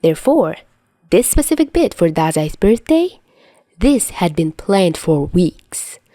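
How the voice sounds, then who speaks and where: A woman's voice: lowish voice, big pitch swings, a little slow, mellow, dry recording. A woman narrating a story as a podfic reader, in a scripted read-aloud style.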